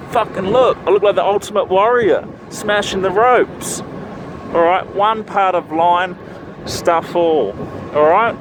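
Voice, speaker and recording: A man's voice: sits high, echoey room, noisy background. A young Australian man with a lisp from new braces, hosting a casual how-to vlog about crane rigging, joking and swearing in a blokey, rambling way. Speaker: crane rigger and channel host.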